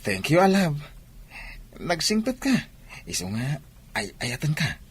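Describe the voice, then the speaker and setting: Animated young Filipino man, charming suitor. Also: on the high side, slow, a little hiss or hum. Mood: affectionate. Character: polite, charming young male student, sweet-talking suitor. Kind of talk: Ilocano radio drama acting.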